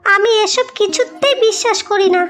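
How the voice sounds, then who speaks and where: A woman's voice: extremely high pitch, fairly even intonation, moderate pace. A woman voicing a vain, quarrelsome young bride who scolds indignantly in a melodramatic cartoon. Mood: haughty, indignant. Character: vain, haughty, quarrelsome young new wife.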